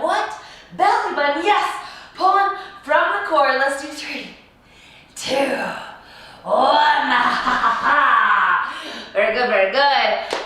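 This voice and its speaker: A young woman with a raspy, worn-out voice coaches a home workout, bubbly and given to little laughs. Her voice sits high, and she talks at an easy, unhurried pace. She sounds far from the mic in a strongly echoing room. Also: fairly bright tone. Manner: bubbly and giggly, coaching with playful asides. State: raspy, hoarse voice. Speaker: fitness coach. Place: apartment room. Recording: echoey room, distant from mic.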